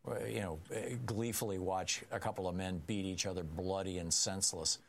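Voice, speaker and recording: A man hosting a political talk radio show, speaking emphatically and dropping low and quiet on serious points. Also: fairly even intonation, a little fast, a loud crowd.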